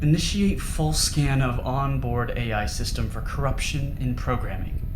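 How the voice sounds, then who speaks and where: A man's voice: mid-range voice, moderate pace, natural voice. A man playing an urgent, commanding starship captain in a sci-fi audio drama, giving clipped orders with growing desperation. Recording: echoey room, clear background ambience noise.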